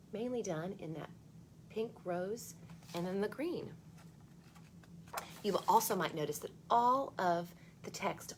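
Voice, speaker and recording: Expressive woman storyteller, noisy ambient recording. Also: mid-range voice. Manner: expressive, speaking directly to young listeners. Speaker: storytime host reading a picture book aloud. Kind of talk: children's read-aloud with chatty asides.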